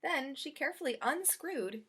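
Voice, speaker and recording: A woman's voice: high voice, lively intonation, moderate pace, fairly bright tone. A woman reading a children's story aloud expressively, speaking directly to young listeners. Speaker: storybook reader.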